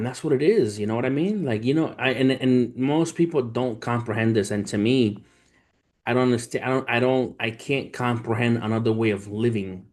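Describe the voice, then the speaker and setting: A man's voice: medium pitch, darkish tone. A man in his thirties hosting a livestream, talking informally with slang and swearing as he tells stories and gives motivational talk to his viewers. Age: 30s. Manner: informal, street slang, profane, addresses viewers as family. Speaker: livestream host, trader. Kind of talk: casual livestream chat, storytelling and motivational talk.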